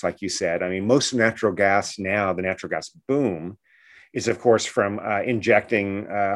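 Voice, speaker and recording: A man speaking as an expert guest in a radio interview, explaining things earnestly and often checking in with a short questioning tag. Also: on the low side.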